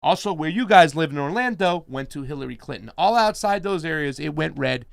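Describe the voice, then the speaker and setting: A man's voice: on the high side, expressive, a little fast, gravelly, no room sound, clean studio sound. A man hosting a live political commentary stream, chatting casually and reading out viewer comments. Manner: chatty, reads viewer chat aloud. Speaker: host.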